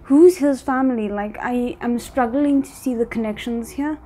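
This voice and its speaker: A woman with a fairly high voice reacts aloud to something she is watching. Her commentary is casual and unscripted, dropping into muttered, wry asides. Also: normal speed, dark tone, a little hiss or hum. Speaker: reaction video host.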